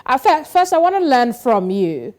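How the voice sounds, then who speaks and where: A woman anchoring a news panel interview, brisk and steering the guests. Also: sits high, sing-song, a little mellow.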